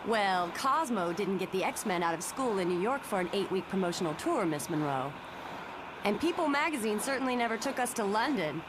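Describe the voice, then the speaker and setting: A woman's voice: medium pitch, lively intonation, normal speed, close to an everyday voice. A young woman acting a bantering, defensive superheroine in a motion comic. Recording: clear background ambience noise.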